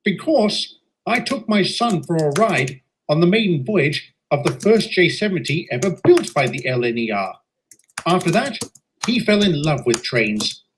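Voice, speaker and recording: A portly old railway director, played by a man, courteous and jovial, his voice swinging up and down with lively warmth. He speaks with formal, old-fashioned politeness in a homemade audio drama. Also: fairly high pitch, unhurried, a little hiss or hum, poor audio. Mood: genial. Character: stout, genial older male railway director. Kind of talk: fan audio drama voice acting.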